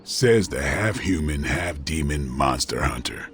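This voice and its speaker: An actor playing a cool, gruff vampire hunter with a sardonic, dry manner in a video game. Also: male voice, extremely low pitch, lively intonation, measured pace, soft background music. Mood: matter-of-fact.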